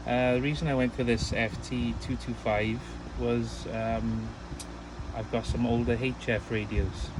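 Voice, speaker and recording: A man's voice: mid-range voice, level tone, a little slow, noisy background. A man chatting casually and at rambling length as an amateur radio operator. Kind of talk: casual ham radio chat.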